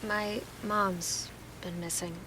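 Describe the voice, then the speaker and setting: A woman's voice: mid-range voice, fairly even intonation, slow, noisy background, natural voice. A woman playing an earnest, wounded but hopeful helper in a naturalistic audio drama. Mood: subdued, hopeful.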